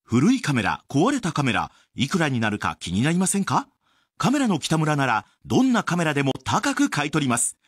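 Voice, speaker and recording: Very animated, husky male ad announcer. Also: on the high side, brisk, dry recording, studio quality. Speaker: commercial announcer. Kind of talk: radio advertisement read.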